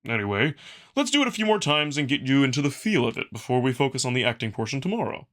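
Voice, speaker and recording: A man's voice: mid-range voice, very animated, quick pace, fairly bright tone. Man voicing a big, earnest, theatrical beast noble. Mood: patient, earnest.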